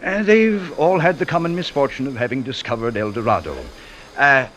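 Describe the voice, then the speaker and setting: An actor playing a genteel, coldly casual middle-aged estate owner, hemming and politely menacing, in old-time radio drama style. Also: male voice, mid-range voice, moderate pace, fairly bright tone, slight background noise, close to an everyday voice. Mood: politely sinister.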